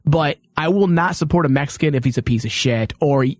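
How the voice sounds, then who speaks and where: A male talk host with a fairly high voice speaks in a casual, storytelling style. He is opinionated and swears offhandedly. The sound is close and dry. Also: a little fast, no room sound.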